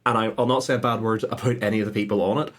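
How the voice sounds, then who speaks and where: A man's voice: on the low side, fairly even intonation, racing. A man giving casual, informal answers as a podcast guest, with a self-deprecating way of talking. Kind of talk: casual conversational interview answers.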